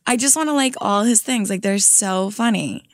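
A woman co-hosting a podcast in casual chat, raspy voice, talking with lots of fillers. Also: mid-range voice, moderate pace, no echo. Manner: giggly, filler-heavy, lots of "like". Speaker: podcast co-host.

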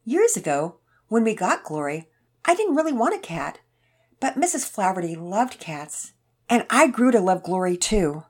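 A sweet old widower as a woman narrator acts him out, raised in pitch and full of swoops. He talks in a gentle, folksy, rambling way, frail and grateful, like a character in a children's storybook. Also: big pitch swings, normal speed, breathy edge. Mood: tender, resigned. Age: older.

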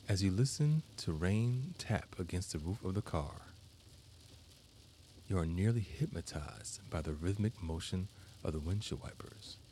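A man narrating a scripted guided meditation as its host, deliberate and soothing, leaving long pauses between short phrases. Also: deep voice, mellow, slight background noise.

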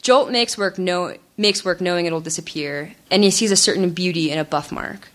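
A woman hosting a live artist talk, reading her prepared introduction in a measured way. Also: on the low side, a bit bright. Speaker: event host. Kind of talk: scripted introduction at a live event.

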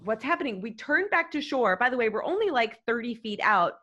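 A woman's voice: fairly high pitch, brisk, lo-fi sound. A woman telling a comedic story to a podcast panel, rambling and emphatic. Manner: animated, rambling, emphatic with "freaking". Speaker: guest storyteller.

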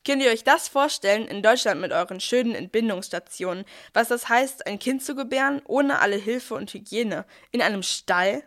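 A woman's voice: fairly high pitch, normal speed, few pauses, a bit bright. A woman playing a busy, down-to-earth archangel who tells the story in a scripted nativity audio play, chatting directly to the listeners. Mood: earnest. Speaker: narrator.

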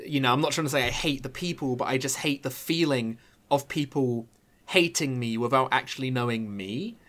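A man YouTuber chatting casually, candid and excitable, swearing freely. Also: mid-range voice, a little fast. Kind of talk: casual chat.

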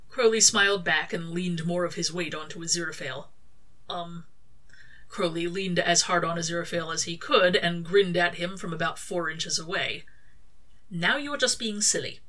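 Female author-narrator for fiction: lower-pitched, clear-cut bright tone, scripted storytelling. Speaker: author reading own fan fiction.

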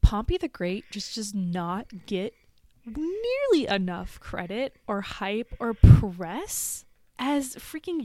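A woman's voice: on the high side, mellow, no room sound. A woman leaving a casual voice message, gushing and rambling in a slangy way. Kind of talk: casual spoken birthday voice message.

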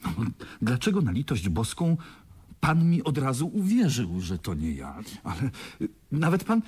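A man's voice: medium pitch, wildly swooping pitch, normal speed, a little mellow, slightly rough, dry recording. A man playing a polite, earnest young heir in a radio play. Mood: puzzled, hesitant. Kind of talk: Polish radio play acting.

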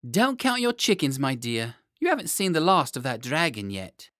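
A man voicing a strict, scolding woman nurse with a brisk, admonishing manner in a storybook. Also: fairly high pitch, big pitch swings, fairly bright tone, dry recording, clean studio sound, pitched above the performer's own voice. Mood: warning.

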